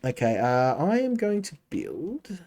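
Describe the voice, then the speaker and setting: Man, game streamer, slightly high pitch, casual rambling commentary, talks to chat. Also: huge pitch swings, a little slow, darkish tone. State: coming down with a cold.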